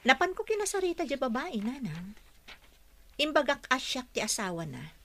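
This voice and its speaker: A woman's voice: sits high, big pitch swings, moderate pace, fairly bright tone, full character voice. A woman acting a hurt young wife and mother in a radio drama, jealous and defensive, with a Filipino accent. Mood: upset.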